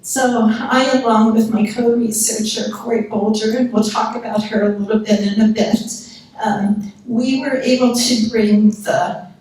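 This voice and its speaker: Soft-spoken woman lecturing in an echoey hall. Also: fairly high pitch, fairly even intonation. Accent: Pennsylvania. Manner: soft-spoken, earnest, measured. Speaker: guest lecturer. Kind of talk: public history lecture. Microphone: handheld or podium microphone. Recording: echoey hall, picked up from a distance.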